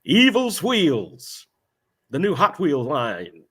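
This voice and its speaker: A man with a cold and a croaky voice, co-hosting a casual podcast chat and mocking himself. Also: on the high side, huge pitch swings, slow, a bit bright. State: hoarse from a cold. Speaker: podcast co-host.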